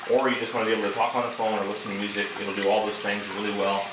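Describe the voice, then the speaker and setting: A man's voice: lowish voice, flat delivery, rapid-fire. A man giving a casual product demonstration and review, explaining things step by step in a conversational way. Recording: echoey, distant mic, clear background noise.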